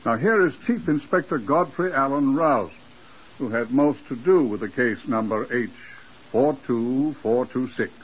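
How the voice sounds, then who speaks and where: A man playing a drily macabre crime museum custodian, hosting a 1950s radio drama in a deadpan, unhurried way. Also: medium pitch, slightly rough. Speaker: host. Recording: very muffled old radio broadcast.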